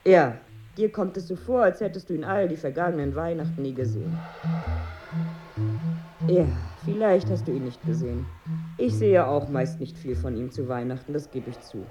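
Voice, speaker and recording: A woman's voice: low-pitched, lively intonation, moderate pace, music in the background. An actress playing a melancholy, bitter married woman in a radio drama, with a brooding, wry, weary manner and diction. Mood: evasive. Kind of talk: radio drama monologue and dialogue.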